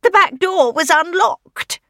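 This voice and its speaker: A woman voicing a feisty widow from a wartime village, loud and dramatic in her exclamations. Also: extremely high pitch, lively intonation, slow pace, no room sound, studio quality, voice pushed up, exaggerated voice. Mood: agitated.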